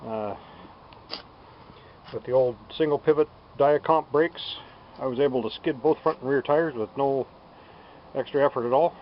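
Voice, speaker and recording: A man giving a casual, unscripted workshop demonstration, thinking aloud in a halting, unhurried way. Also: medium pitch. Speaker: DIY bicycle tinkerer presenting a build. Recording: dull lo-fi sound with background noise.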